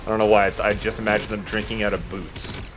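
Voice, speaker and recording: A man's voice: lowish voice, flat delivery, fast, poor audio. A man giving casual, joking gaming commentary and banter as he plays, with some swearing. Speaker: let's play host playing the game. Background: game music.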